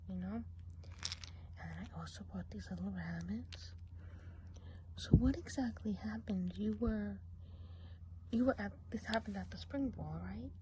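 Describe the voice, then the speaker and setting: A woman's voice: mid-range voice, noisy background, natural voice. A woman playing a gossipy, nosy woodland witch in an ASMR role play. She mostly whispers and chats on as she gossips.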